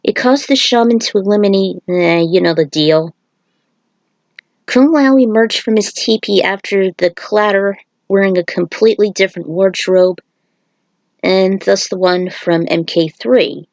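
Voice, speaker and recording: A woman's voice: medium pitch, a little slow, darkish tone, dry recording, highs cut off. A woman narrating a story in a casual read-aloud style, breaking off for informal, offhand asides. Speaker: fan fiction reader and author.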